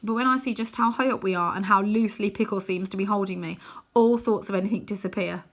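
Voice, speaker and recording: A woman reading a children's novel aloud as expressive narration, with dramatic, animated emphasis. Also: medium pitch, fast talker.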